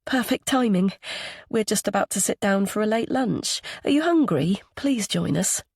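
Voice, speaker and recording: Narrator playing a friendly easygoing mum in an audiobook, woman, really lively pitch. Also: normal speed, creaky, husky, no echo, clean studio sound. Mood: welcoming. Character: friendly, welcoming, easygoing mother and daughter. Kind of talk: audiobook character dialogue.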